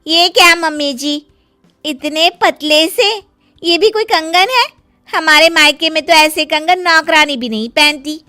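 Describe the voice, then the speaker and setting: A woman's voice: high-pitched, measured pace, pushed and bright, faint music behind, voice pushed up. A woman playing a spoiled young daughter-in-law in a story, with a disdainful, entitled manner. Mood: demanding, disdainful. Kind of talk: acted story dialogue.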